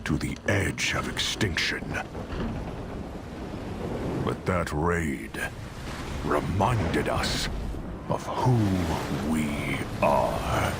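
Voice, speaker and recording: Very deep, solemn Viking storyteller. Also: male voice, heavy background noise, full character voice. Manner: solemn, measured. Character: grave, battle-worn male Viking war storyteller. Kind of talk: scripted cinematic game narration. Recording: loud sea ambience behind.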